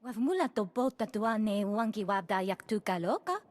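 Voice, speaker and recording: An actress playing an alien in a video game, talking in an invented alien tongue. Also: female voice, mid-range voice, expressive, moderate pace, lightly acted. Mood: earnest. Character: female alien speaking an invented gibberish tongue. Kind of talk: video game cutscene acting.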